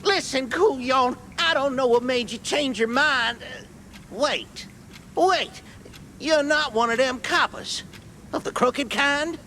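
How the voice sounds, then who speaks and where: A man's voice: extremely high pitch, takes it slowly, sharp tone, a little hiss or hum, exaggerated voice. A man voicing a nervous, scrawny cartoon gangster foreman, folksy and pleading. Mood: indignant. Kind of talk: animated game voice acting.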